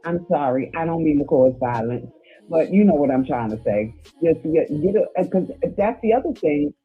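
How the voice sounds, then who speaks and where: A woman's voice: low-pitched, expressive, normal speed, soft background music. A woman calling in to a live show and chatting casually over a phone call. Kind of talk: casual chat. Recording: husky, dull lo-fi phone call audio.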